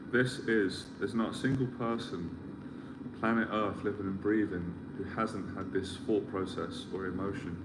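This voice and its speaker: A middle-aged man giving a motivational talk as a life mentor, earnest and rambling, often repeating himself as he searches for words. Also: deep voice. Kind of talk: motivational live stream talk. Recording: clear background ambience noise.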